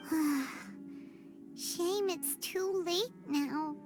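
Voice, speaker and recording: A woman voicing a small, cute, curious fairy companion in a video game, with a squeaky childlike voice over music. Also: very slow, exaggerated voice. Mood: wistful.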